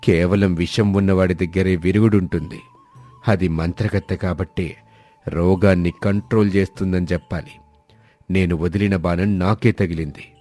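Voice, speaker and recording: A man's voice: sits low, steady tone, moderate pace, dark tone, faint music behind. A man voicing a scheming, power-hungry village landlord in a moral story, menacing and domineering.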